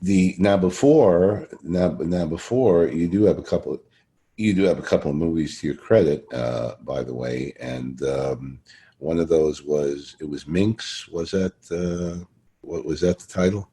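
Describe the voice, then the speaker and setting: A man with a very deep voice and a soft, dark tone hosts a casual interview. He feels his way through questions, often circling back over the same phrase. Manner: halting, repeats phrases while searching for words. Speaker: podcast interviewer.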